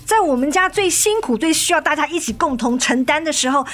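Weary, resolute wronged wife, higher-pitched. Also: female voice, animated, fairly quick, fairly bright tone. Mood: indignant. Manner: firm, reproachful. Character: weary, resolute wife and mother confronting her cheating husband. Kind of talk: Mandarin radio drama acting. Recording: studio radio drama with music underneath.

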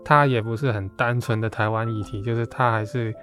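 A man's voice: fairly low pitch, fairly even intonation, a little fast, fairly bright tone, soft background music. A man from Hong Kong with a Hong Kong accent, talking modestly and a little hesitantly as an interview guest. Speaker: guest, comic artist. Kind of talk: casual interview talk.

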